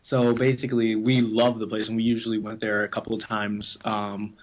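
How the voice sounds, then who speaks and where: A man's voice: lowish voice, monotone, fairly quick. Rambling casual man on dull lo-fi audio. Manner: rambling, informal, acts out quoted remarks. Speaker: interview guest, amateur voice actor in an abridged anime series. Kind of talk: casual interview chat, telling anecdotes.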